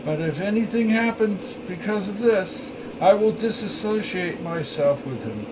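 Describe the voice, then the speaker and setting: A man voicing a cautious, wary male insurgent in an audiobook, measured, with faint hum behind. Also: sits high, expressive, pitched above the performer's own voice.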